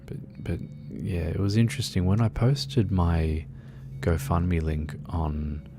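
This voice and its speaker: Laid back aussie guy streaming, low gravelly voice, soft and soothing, lots of ums. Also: steady tone, slow pace, music in the background. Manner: soft-spoken and soothing, rambling with many fillers. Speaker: game streamer. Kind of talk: relaxed casual chat while gaming.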